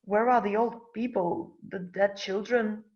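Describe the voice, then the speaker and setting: Dull recording, woman acting anxious young woman, hesitant, curious, questioning, mid-pitched, drama table read. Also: fairly even intonation, measured pace, a little mellow, lightly acted. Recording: dull lo-fi sound.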